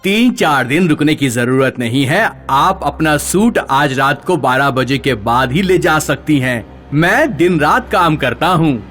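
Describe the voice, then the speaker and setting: A man plays a ghostly village tailor in a cartoon horror tale. His voice sits fairly high, formally polite with a pleading edge. Faint music plays behind him. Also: lively intonation, unhurried, hardly any pauses, fairly bright tone. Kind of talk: animated horror story dialogue.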